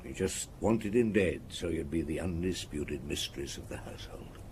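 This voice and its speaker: Radio drama lead: actor as middle-aged police chief, low voice, measured, probing yet compassionate, faint ambience behind. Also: male voice, a little hiss or hum, natural voice. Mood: quiet, sympathetic. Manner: measured, probing, quietly sympathetic.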